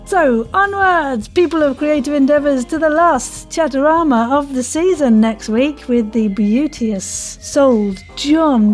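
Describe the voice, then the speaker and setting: Effusive, high-voiced woman podcast host. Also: a little mellow. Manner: effusive, playful wordplay. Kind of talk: chatty interview and sign-off to listeners.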